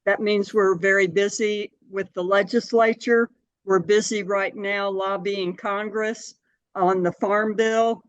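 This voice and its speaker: An older woman giving measured, explanatory answers in a conversational interview. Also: mid-range voice, dry recording. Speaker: interview guest, city board member and anti-hunger advocate.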